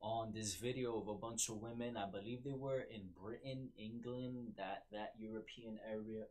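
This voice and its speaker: A man's voice: on the low side, steady tone, normal speed, a little mellow. A man with a New York accent hosting a solo podcast, rambling with many fillers and restarts. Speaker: podcast host.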